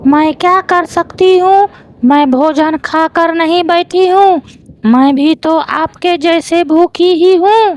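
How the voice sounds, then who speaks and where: A woman voicing a sharp-tongued, greedy crow wife in a cartoon, grumbling and sarcastic. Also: very high voice, slow pace. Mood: irritated. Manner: sarcastic, nagging.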